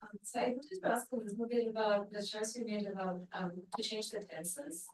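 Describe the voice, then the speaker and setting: A woman's voice: medium pitch, a little fast, darkish tone. A woman in the audience asking a tentative, polite question with a few ums. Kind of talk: question from the floor. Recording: roomy, from far off the mic.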